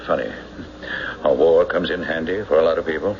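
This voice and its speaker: A very deep, folksy small-town banker. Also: male voice, steady tone. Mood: wry, hesitant. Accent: American. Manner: hemming, folksy, drawn-out recollection. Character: gossipy, hesitant small-town male banker. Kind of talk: radio mystery drama acting. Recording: muffled, hissy old radio recording.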